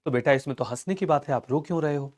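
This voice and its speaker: A young Indian man with a mildly hoarse throat leads a live online history lesson. His voice sits a little high, and his tone is calm and reassuring. He speaks Hindi with English mixed in. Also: fast. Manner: reassuring, calls students "beta", mixes English into Hindi. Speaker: online teacher.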